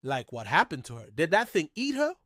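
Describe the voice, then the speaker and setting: Higher-pitched man telling a mystery story. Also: wildly swooping pitch, a little fast, sharp tone, no room sound, clean studio sound. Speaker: host of a mystery storytelling channel. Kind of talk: conversational true-mystery storytelling.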